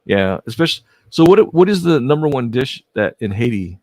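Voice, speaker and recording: A man's voice: medium pitch, big pitch swings, fairly quick, a little mellow, dry recording, studio quality. A man hosting a casual livestream interview, friendly and prone to stumbling restarts. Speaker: host.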